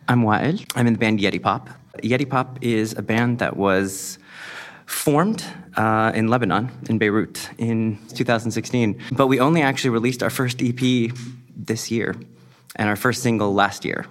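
Young guy musician, lowish voice, just chatting, lots of um and like. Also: moderate pace. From United States. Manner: relaxed, rambling with frequent fillers. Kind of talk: casual interview talk.

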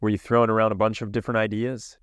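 Male podcast host, quick questions, clean voice, dry close mic. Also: fairly low pitch, level tone, no room sound. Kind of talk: podcast interview.

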